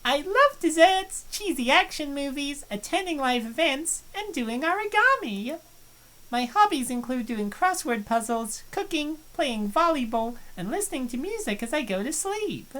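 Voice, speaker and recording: A woman's voice: high-pitched, expressive, moderate pace, fairly bright tone, poor audio. A woman voicing a shy, obsessive college girl. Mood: confiding. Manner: earnest, confessional reading. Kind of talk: visual novel voice acting.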